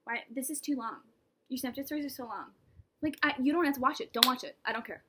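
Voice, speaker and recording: A young woman with a slightly high, breathy voice chats casually to her camera. She rambles and mocks herself, often dropping into whispered asides between laughs. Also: fast, a bit bright. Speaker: vlogger. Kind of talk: casual vlog monologue.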